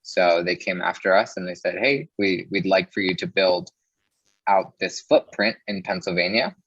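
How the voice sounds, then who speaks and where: A man with a low voice tells stories as an interview guest. He is casual and chatty, dropping "like" into his sentences. The sound is dry and a little dull and muffled. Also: moderate pace, fairly bright tone, husky, no echo. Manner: chatty, peppers speech with "like". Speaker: interview guest, mortgage loan originator. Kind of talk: casual conversational storytelling.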